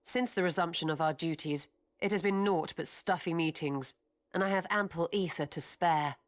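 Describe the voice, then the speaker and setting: A woman's voice: fairly low pitch, moderate pace, natural voice. A woman playing a willing adventurer in a fantasy video game, speaking in formal, archaic phrases. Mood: willing, wry. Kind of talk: scripted video game cutscene acting.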